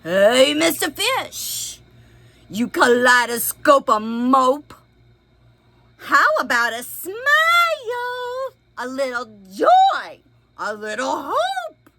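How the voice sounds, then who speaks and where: Woman doing a cheeky squid lady, loud, swooping up high, storybook voice. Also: wildly swooping pitch, very slow, a little hiss or hum, full character voice. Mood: teasing. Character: squirmy, slightly rude female squid.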